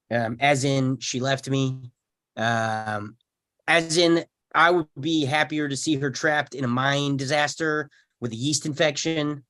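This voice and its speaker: A man playing an awkward, bitter divorcee on a date in a sitcom table read, speaking haltingly. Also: mid-range voice, a bit bright, no room sound.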